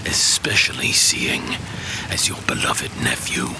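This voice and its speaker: An actor playing a menacing, scheming fallen villain in a fantasy audio drama, imperious and threatening, with a deep gravelly voice. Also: male voice, animated, whispered, full character voice, low-quality recording. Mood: sly, persuasive. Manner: slow, threatening, persuasive.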